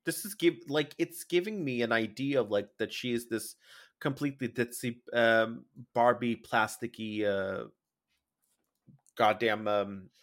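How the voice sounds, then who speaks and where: A man's voice: medium pitch, measured pace, fairly bright tone. A man co-hosting a recap podcast, chatting casually in a campy, sassy, exclamatory way and swearing freely. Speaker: podcast co-host. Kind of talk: casual comedic recap chat about a drag competition show.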